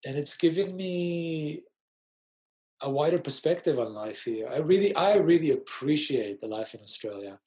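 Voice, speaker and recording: A man's voice: fairly high pitch, lively intonation, moderate pace. Thoughtful man in his forties. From Israel. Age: 40s. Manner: thoughtful, explains gently with many ums. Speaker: musician guest. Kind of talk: casual interview chat.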